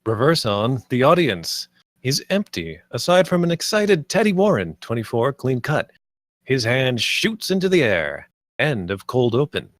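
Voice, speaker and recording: A man's voice: medium pitch, very animated, unhurried, no room sound, studio quality. A man reading stage directions as scripted narration at a screenplay table read.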